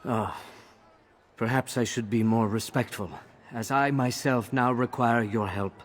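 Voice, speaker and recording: An actor playing a roguish, wry carouser in a video game, talking in a wordy, mock-respectful way. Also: male voice, medium pitch, unhurried, slight background noise, close to an everyday voice. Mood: wry, pleading. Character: roguish, wry carousing man seeking help. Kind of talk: scripted game cutscene acting.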